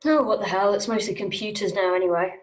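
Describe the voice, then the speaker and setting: A woman's voice: mid-range voice, quick pace, close to an everyday voice, rough recording. A woman playing a stony, disapproving doctor with a dry, sarcastic manner in a script table read. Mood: resigned.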